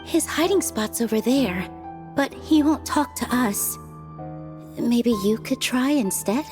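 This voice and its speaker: A courteous storybook creature for an animated game. The voice is fairly high and politely formal, full of concern, with music behind it. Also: female voice, takes it slowly. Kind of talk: animated video game acting.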